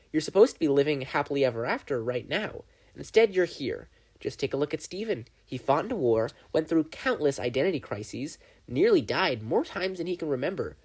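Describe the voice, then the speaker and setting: Reader doing a menacing demon voice, male triangle creature, taunting, husky, very lively pitch. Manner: taunting, theatrical, mocking. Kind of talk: fan fiction audiobook reading.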